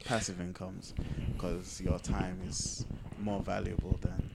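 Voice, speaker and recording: Low-voiced man chatting on a podcast. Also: level tone, takes it slowly, gravelly, heavy background noise. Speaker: podcast co-host. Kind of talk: casual conversational podcast chat.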